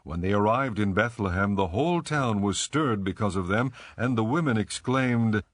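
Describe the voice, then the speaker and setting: A man narrating scripture from a script in a measured, solemn manner. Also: lowish voice, animated, dry recording.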